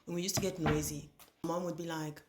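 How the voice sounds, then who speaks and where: A woman's voice: sits low, fairly quick, background noise. A young woman from Nigeria with a Nigerian English accent, whose first language is Igbo, talks casually to the camera in her kitchen, telling stories and imitating how words are pronounced. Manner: lively storytelling, mimics pronunciations, rhetorical questions. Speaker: vlogger. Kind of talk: casual chatty rant to camera. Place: home kitchen while cooking.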